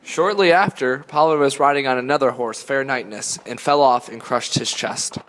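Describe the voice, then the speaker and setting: A man's voice: mid-range voice, normal speed, fairly bright tone. A man reading scripted story narration as a narrator. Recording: echoey hall reverb.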